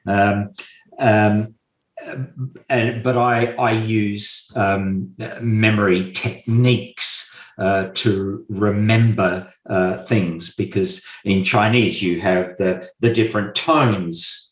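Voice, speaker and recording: Male interview guest explaining study methods, slow and measured, stresses points by repeating them; echoey room sound. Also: fairly low pitch, steady tone. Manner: slow, deliberate, repeats key points with hesitant fillers. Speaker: guest expert on memory and mind maps. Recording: roomy echo.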